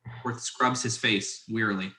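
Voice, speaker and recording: A man's voice: fairly low pitch, steady tone, moderate pace, pushed and bright. A man giving scripted narration, reading stage directions in a roomy, echoing recording.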